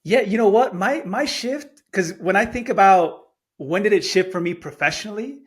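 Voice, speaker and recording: A middle-aged man who grew up in Chile and spoke Spanish first tells a personal story as a podcast guest. His voice sits a little high for a man. He talks earnestly and often repeats a word or two before a sentence gets going. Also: animated, moderate pace, slightly rough. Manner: earnest, repeats words when starting phrases. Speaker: guest speaker. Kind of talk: storytelling in an interview.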